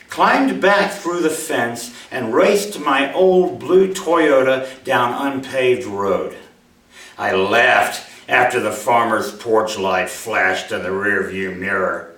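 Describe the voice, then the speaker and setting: Male writer, for live literary reading. Unhurried, animated pitch, mid register. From Mobile, Alabama, USA. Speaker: writer reading his own short story. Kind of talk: live story reading to an audience, expressive. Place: indoor room at a live reading event. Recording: roomy, echoey hall, distant microphone.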